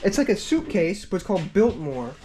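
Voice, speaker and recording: An excitable young man chatters as he sorts through boxes in an indoor storage hallway, his pitch jumping around. The handheld camera audio carries noticeable noise. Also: fairly quick. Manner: excitable, exclaims and laughs often. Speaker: storage unit reseller.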